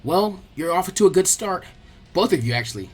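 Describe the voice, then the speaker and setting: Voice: medium pitch, expressive, brisk, breathy edge, soft background music. A storyteller voicing a good-natured, cocky teenage boy, talking casually and a little boastfully. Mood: impressed, casual.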